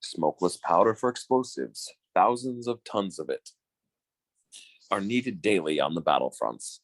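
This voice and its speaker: A man's voice: deep voice, expressive, measured pace, dry recording, exaggerated voice. A man playing an earnest, formal 1940s radio announcer, reading in a polished, declamatory style. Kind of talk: 1940s radio announcing, scripted sponsor message.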